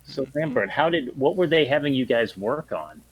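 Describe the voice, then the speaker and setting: A man's voice: medium pitch, expressive, moderate pace, rough recording. A man talking casually as a player in a tabletop role-playing game.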